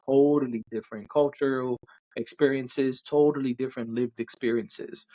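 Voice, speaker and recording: Podcast co-host, young man from Brooklyn, animated and emphatic, mid pitch. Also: normal speed, poor audio. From Brooklyn, New York. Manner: animated, emphatic, talks at length. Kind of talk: casual chat.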